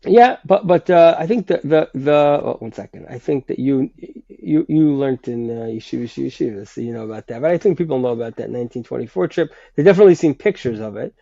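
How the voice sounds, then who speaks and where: A man with a sinus infection explaining history in a conversational way, pausing often and restarting his sentences. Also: medium pitch, expressive, gravelly, mellow, no room sound. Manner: hesitant, many restarts and fillers. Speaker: guest writer. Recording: dull, lo-fi sound.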